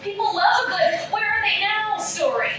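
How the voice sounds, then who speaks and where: A woman's voice: very high-pitched, moderate pace. A woman at a comedy table read playing an earnest, prim, self-deprecating podcast host who scolds in exasperation. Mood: hopeful. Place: indoor room at a table read. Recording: roomy echo, distant mic, background noise.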